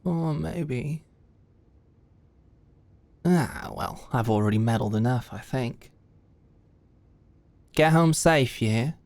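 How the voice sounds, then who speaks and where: A woman's voice: a bass voice, slow, creaky, pauses often, a little mellow, no room sound, heavily acted. A woman playing a composed, wry senior corporate mentor who muses and confides in a scripted ASMR audio roleplay. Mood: fond, wry.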